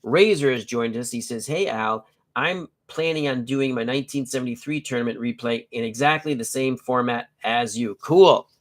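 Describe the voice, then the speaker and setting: Middle-aged male stream host, stuffy and nasal, chatty and jokey, average pitch, reads stats in short bursts. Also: normal speed. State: congested and nasal from a cold. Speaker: host of a tabletop baseball live stream. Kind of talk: casual live-stream chat and lineup reading.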